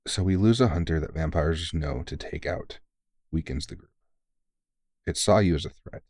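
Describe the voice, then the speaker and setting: A man playing a kindly, tired veteran vampire hunter in an intimate audio roleplay, reassuring a rookie in an informal, gently teasing and sweary way. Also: very deep voice, moderate pace, dry recording, clean studio sound. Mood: somber, earnest.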